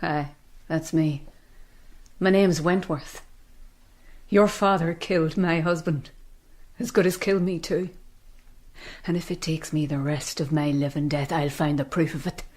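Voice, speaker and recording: A woman acting a bitter, vengeful widow in a radio drama, speaking slowly, accusingly and intensely. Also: sits low, steady tone, a little mellow. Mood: bitter, vowing revenge.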